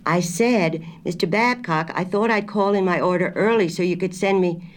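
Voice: medium pitch, sing-song, brisk, a little mellow, slight background noise. A reader voicing a patient, anxious city wife who speaks politely and placatingly, her voice raised a little.

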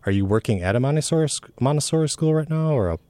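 A man hosting a casual interview, asking questions in a relaxed, conversational way with ums and restarts. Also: mid-range voice, fast talker, no echo. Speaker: interview host.

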